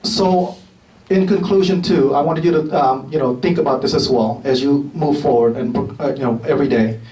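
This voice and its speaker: A man presenting grants at a community meeting, halting and filled with ums and little chuckles. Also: medium pitch, steady tone, dark tone, slight background noise. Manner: halting, many fillers, chuckles between remarks. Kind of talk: casual presentation remarks. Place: meeting room with an audience. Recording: roomy hall from far off with crowd.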